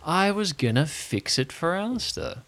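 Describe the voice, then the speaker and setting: A man playing a muddled, defensive fellow with a halting, distracted manner in an improvised audio drama. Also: fairly high pitch, very animated, a bit bright, pitched above the performer's own voice.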